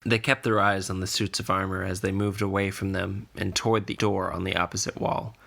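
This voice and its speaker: A man narrating a scripted fantasy story in a measured, deliberate way. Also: low voice, level tone, gravelly, a bit bright. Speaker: podcast narrator.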